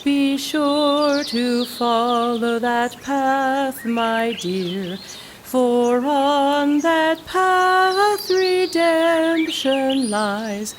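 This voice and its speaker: A woman's voice: sits high, very slow, slight background noise. An actress playing a mother singing a childhood lullaby in an audio drama. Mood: tender.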